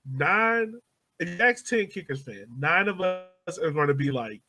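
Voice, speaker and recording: High voiced guy podcast chat, super expressive pitch, emphatic, repeats himself to make a point. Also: unhurried. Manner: emphatic, repeats phrases for effect. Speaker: soccer podcast co-host. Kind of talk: casual chat.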